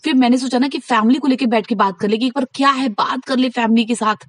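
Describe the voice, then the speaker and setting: Woman, higher-pitched and dry-sounding, insistent advice-giver chatting informally on a call, retelling conversations in quotes. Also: steady tone, fast, no room sound. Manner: insistent, advising, recounts conversations by acting out quotes. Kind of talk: casual private phone conversation.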